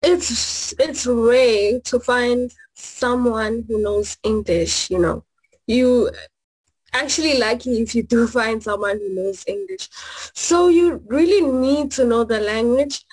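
A woman's voice: a little high, slow, a little mellow, husky, low-quality recording. A woman from South Africa, a master's student, answering interview questions earnestly and explaining with examples.

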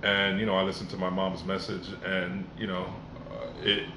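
A man in his forties, born in Jamaica and raised in Miami, speaks in a very low voice. He tells his story in an earnest, reflective way. The room is echoey, with clear background noise. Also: flat delivery, moderate pace. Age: 40s. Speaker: podcast guest. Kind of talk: casual conversational podcast.

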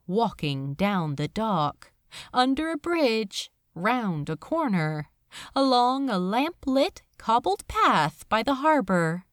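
A woman reading a dramatic story as scripted narration, with measured, deliberate phrasing. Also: medium pitch, no echo, clean studio sound. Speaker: audiobook reader of fan fiction.

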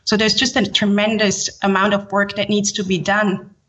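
Female podcast guest, from Haiti and Switzerland, medium pitch, formal professional explaining, muffled lo-fi audio. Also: level tone, moderate pace, a bit bright. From born in Haiti, grew up in Switzerland. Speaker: podcast guest, ESG executive. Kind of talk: explanatory interview talk in professional terms.

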